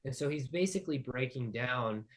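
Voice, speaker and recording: A man speaking at an everyday middle pitch, spinning out long abstract thoughts in a casual spiritual conversation. His sentences wander and restart as he builds each idea. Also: expressive, moderate pace, a bit bright. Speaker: podcast guest.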